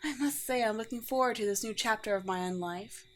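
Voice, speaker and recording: A woman playing a courteous, teasing, world-weary vampire queen in a roleplay audio drama, with formal, old-fashioned and gently wry phrasing. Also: on the high side, fairly quick, close to an everyday voice. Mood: gracious, playful. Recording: clear background noise with ambience.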